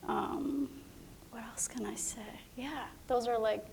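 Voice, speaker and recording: A woman from Somalia, a playwright on a panel, speaking softly and haltingly with many fillers as she reflects. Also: fairly high pitch, fairly even intonation, creaky, a little hiss or hum. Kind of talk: reflective personal sharing.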